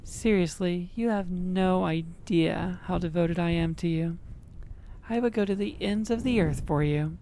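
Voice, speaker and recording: A woman's voice: on the low side, unhurried, dark tone, slight background noise. A woman playing an obsessive, boastful kitsune fox girl in an ASMR roleplay, cajoling and dramatic. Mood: smug, coaxing.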